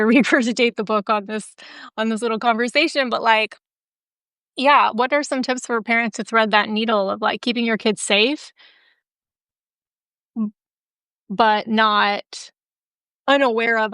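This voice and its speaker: A woman's voice: a little high, fairly bright tone, clean tone, no room sound. A woman hosting a casual interview, talking candidly with lots of "like" fillers. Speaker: host.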